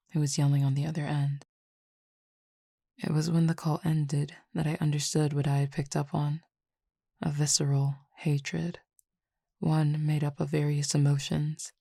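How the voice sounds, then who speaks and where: Low, husky Cupid roommate, acted by a woman. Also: no room sound, studio quality, exaggerated voice. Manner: formal, measured, softly teasing. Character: calm, teasing supernatural Cupid roommate, an emotion feeder. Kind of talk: scripted roleplay audio drama, intimate.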